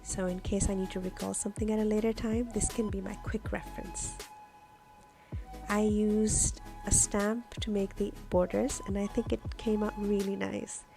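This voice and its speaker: A woman's voice: medium pitch, big pitch swings, normal speed, vocal fry. A woman whose native language is Urdu, giving a casual, explanatory voice-over as a craft vlogger walking through her journal pages. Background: music.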